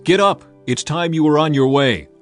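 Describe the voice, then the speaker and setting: A man's voice: a little high, moderate pace, soft background music, pitched above the performer's own voice, natural voice. A man playing a commanding old prophet and seer in a dramatized scripture reading. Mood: instructing.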